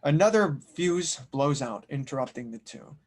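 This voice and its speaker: A man's voice: on the high side, lively intonation, normal speed. A man reading scripted narration and stage directions as the narrator of an audio drama table read.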